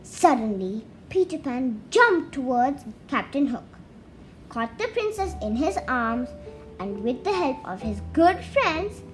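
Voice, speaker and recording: High, lively child-like storytelling voice, slow. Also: faint music behind, low-quality recording. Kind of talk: read-aloud children's bedtime story narration.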